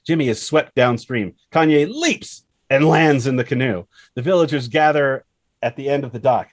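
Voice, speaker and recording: A man's voice: medium pitch, dry recording. A man reading a screenplay's action lines as dramatic narration, expressively and in short punchy phrases. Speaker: screenplay reader.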